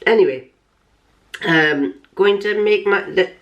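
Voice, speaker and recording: A woman's voice: mid-range voice, slow pace, lo-fi sound. An older woman, chatting casually in a vlog, her voice throaty from a lingering cough, rambling with fillers and restarts. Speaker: vlogger. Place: small home workshop shed.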